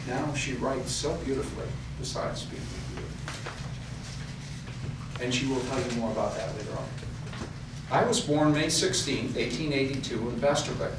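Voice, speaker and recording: A man's voice: mid-range voice, heavy background noise, close to an everyday voice. A man playing a courteous professor who welcomes guests and tells his life story in a formal, storytelling manner at a staged reenactment. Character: genial older male art professor hosting guests. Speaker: actor in a historical reenactment. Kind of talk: staged period reenactment monologue. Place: large echoey room in a house. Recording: distant mic, echoey room, audible ambience.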